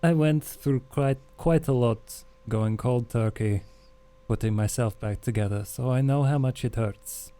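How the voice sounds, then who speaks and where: A man roleplaying a wry, calm male vampire survivor in a tabletop game, with a measured, understated delivery. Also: mid-range voice, clean tone, natural voice. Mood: candid.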